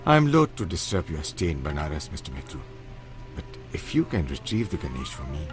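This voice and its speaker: A low-voiced, dignified man of means in a radio play. He speaks courteously and formally, with a wide, expressive melody over soft music. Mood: grave, formal. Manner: formal, measured speech. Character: wronged, wealthy householder, courteous and grave. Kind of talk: radio drama acting.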